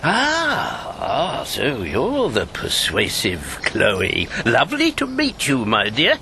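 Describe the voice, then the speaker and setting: Old whisky-loving charmer, jovial, theatrical, animated pitch, radio play, noisy background. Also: male voice, takes it slowly, full character voice. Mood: flirtatious, wry. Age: 70s. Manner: chuckling, roguish, theatrical. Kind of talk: radio drama acting. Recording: radio drama with clear background noise.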